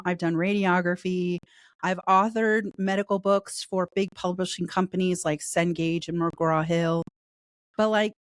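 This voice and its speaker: Raspy woman talking, getting over a cold. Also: lowish voice, no room sound, clean studio sound. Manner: candid, talkative storytelling. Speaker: guest. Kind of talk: casual interview chat.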